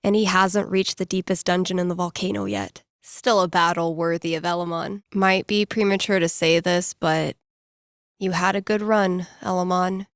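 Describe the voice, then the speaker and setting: A woman's voice: fairly low pitch, steady tone, moderate pace, dry recording, clean studio sound, lightly acted. An actress playing a wry, slangy young woman who DJs and runs tabletop games, in a video game. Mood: wry, casual. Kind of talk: video game character inner monologue.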